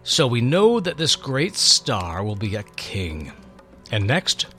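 Man playing a wise, fatherly old seer, animated pitch. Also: soft background music. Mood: gently instructive. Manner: measured, fatherly, instructive. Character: wise old male seer, kindly patient mentor. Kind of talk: dramatic storybook reading.

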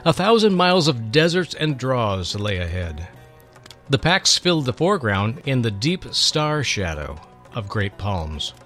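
Man narrating with animated pitch. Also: measured pace, soft background music. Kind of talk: dramatic scripted story narration.

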